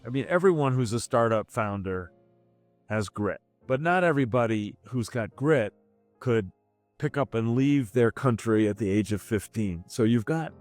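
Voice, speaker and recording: A male podcast host with a middle-range voice asks his guest questions. He speaks in a measured way and sometimes searches for his words. Faint music plays behind him. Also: mid-range voice, expressive, no echo. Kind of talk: interview questions.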